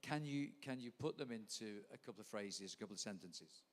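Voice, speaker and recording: A man's voice: lowish voice, expressive, a little fast. Guy hosting a live stage night, chatty storyteller, echoey hall sound. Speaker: event host. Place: live event venue.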